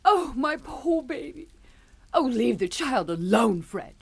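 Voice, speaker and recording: A middle-aged English mother in a suspense radio play, protective and soothing. Her voice is fairly high, with very lively rises and falls. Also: slow, vocal fry, husky, heavily acted. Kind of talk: radio suspense drama acting.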